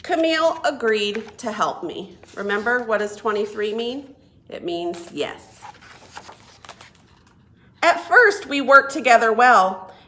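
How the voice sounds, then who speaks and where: A woman reading a picture book aloud to young children as a children's librarian, expressive and deliberate. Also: a little high. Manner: expressive, deliberate, asks listeners questions. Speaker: children's librarian and storytime reader.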